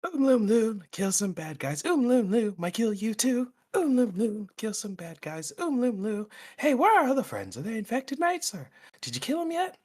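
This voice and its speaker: A man's voice: extremely high pitch, huge pitch swings, quick pace, few pauses, full character voice. A man voicing a menacing male rogue who keeps calling people mate, taunting and threatening. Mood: playful. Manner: threatening, playful sing-song taunts. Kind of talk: in-character roleplay.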